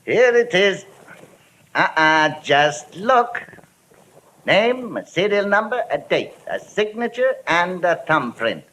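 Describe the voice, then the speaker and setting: Sharp, animated, shady private eye. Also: male voice, high voice, takes it slowly, full character voice. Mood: cool, bargaining. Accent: American. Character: shrewd, shady male private detective and fixer. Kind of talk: 1940s-50s radio detective acting. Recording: noisy old radio broadcast recording.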